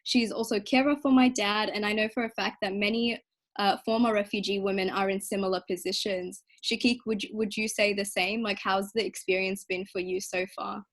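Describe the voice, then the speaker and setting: A woman's voice: mid-range voice, steady tone, a little fast. Young woman speaking evenly on a panel. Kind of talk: reflective panel discussion.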